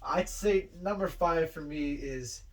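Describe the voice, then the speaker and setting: A man's voice: on the high side, measured pace. A man chatting informally as a podcast co-host, giving film opinions in short, emphatic phrases. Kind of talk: casual film ranking chat. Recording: distant mic with faint background noise.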